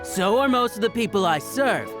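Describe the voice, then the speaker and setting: An actor playing a curt, condescending professional fishmonger in a video game, with music behind him. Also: male voice, high voice, animated, moderate pace. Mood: snooty, dismissive. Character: curt, aloof professional fishmonger man, dismissive of amateurs. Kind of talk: video game character acting.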